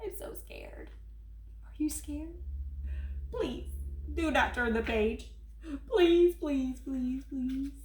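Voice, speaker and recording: A woman putting on a lovable furry monster from a storybook, high-pitched and fretful, who begs and gasps in a frantic, pleading way. The voice bounces around a roomy, echoing space. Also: takes it slowly, pauses often, a little hiss or hum. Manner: frantic, begging, gasping. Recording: roomy echo.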